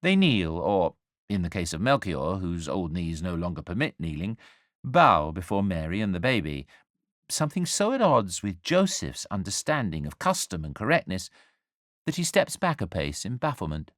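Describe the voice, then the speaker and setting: A man narrating a scripted story as a dramatic storyteller, with wry, measured and deliberate phrasing. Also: low-pitched, big pitch swings, dry recording, clean studio sound. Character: angel narrating the nativity story, wry and urbane.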